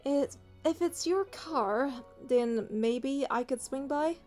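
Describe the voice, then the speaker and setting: A woman's voice: high voice, takes it slowly, a little mellow, faint music behind. A woman voicing a young female hunter and bodyguard, narrating a romance game in an earnest, lightly teasing way. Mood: fond, playful. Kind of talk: scripted first-person game narration and dialogue.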